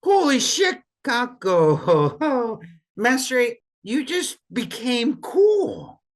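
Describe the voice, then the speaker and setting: A woman's voice: on the high side, big pitch swings, drawn-out words, heavily acted. A woman playing a flirty, laid-back, fun-loving man in an audio play, with a playful delivery. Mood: amazed, curious.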